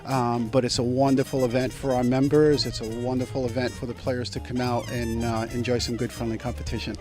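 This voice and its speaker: A man's voice: mid-range voice, little pitch movement, brisk, music in the background. A man who organizes a senior tennis event, speaking with enthusiasm about it.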